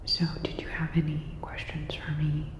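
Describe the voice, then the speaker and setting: Female ASMR performer for a futuristic robot technician: hushed, breathy whisper, very even and reassuring, drenched in reverb. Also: low voice, normal speed. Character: calm, caring futuristic robot repair technician. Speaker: ASMR roleplayer playing a robot repair technician. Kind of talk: ASMR sci-fi personal-attention roleplay. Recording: dull, echoing sound with long reverb.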